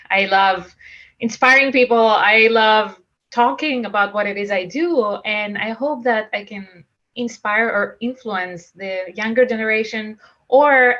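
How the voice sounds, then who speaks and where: A woman's voice: medium pitch, fairly bright tone. Greek-accented woman giving an earnest talk. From Greece. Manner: earnest, with frequent restarts. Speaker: guest speaker. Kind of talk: presentation talk. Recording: muffled lo-fi audio.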